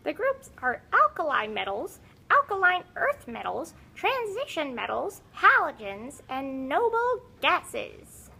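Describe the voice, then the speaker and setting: A woman's voice: high-pitched, huge pitch swings, takes it slowly, gravelly, few pauses, sharp tone, heavily acted. A woman voicing a grumpy, conceited old talking puppet who lectures impatiently in an educational puppet show. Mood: lecturing, haughty. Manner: haughty, exasperated, scolding, lecturing.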